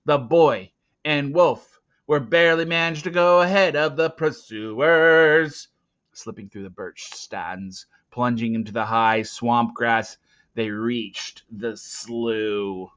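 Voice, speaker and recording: A man narrating a storybook dramatically, swinging theatrically between hushed and loud delivery. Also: medium pitch, takes it slowly, clear, resonant tone, lo-fi sound. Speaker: reader of a children's novel.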